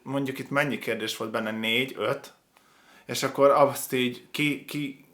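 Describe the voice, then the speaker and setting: Halting man, casual commentator. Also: medium pitch, fairly even intonation, fairly bright tone. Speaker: host of a video reaction show.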